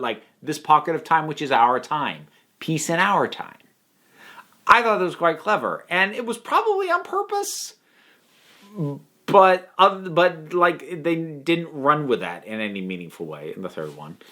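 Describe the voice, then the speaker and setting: A man speaks at an ordinary middle pitch in a casual review style. He works through his opinions thoughtfully, halting and restarting as he goes, and leans on key words for emphasis. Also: a bit bright. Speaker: online reviewer. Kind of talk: casual spoken review commentary.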